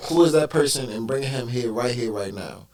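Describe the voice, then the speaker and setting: A man co-hosting a talk show in casual chat, relaxed and loose, cursing now and then. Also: medium pitch, fairly quick.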